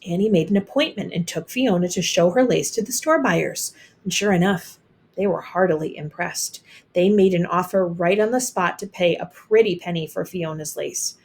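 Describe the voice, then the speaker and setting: A woman reading a children's picture book aloud as an expressive storyteller. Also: on the low side, moderate pace.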